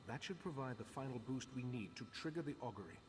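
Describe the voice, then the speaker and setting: Male mage master, acted by a man, mid-pitched voice, measured, formal, serious, authoritative, video game dialogue. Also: animated, slight background noise, close to an everyday voice. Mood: serious, instructing. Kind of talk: video game character acting.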